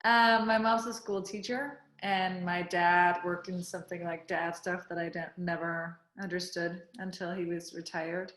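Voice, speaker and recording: A Danish actress in her thirties, a guest in a casual interview, speaking with pauses and searching for words, laughing often. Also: fairly low pitch, fairly even intonation, fairly bright tone. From Denmark. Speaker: guest actor. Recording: roomy echo.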